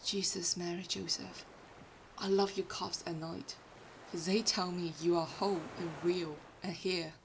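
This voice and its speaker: A woman's voice: fairly low pitch, little pitch movement, a little slow, gravelly, noisy background. A woman with British-influenced non-native English playing a poor, proud, tender working-class mother in an audio story, speaking intimately and earnestly. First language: not English.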